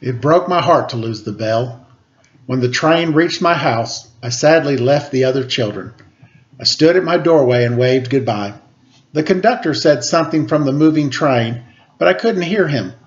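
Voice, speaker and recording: A man's voice: mid-range voice, lively intonation, moderate pace, muffled recording. A man reading a children's picture book aloud as a storyteller. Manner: acts out quoted dialogue.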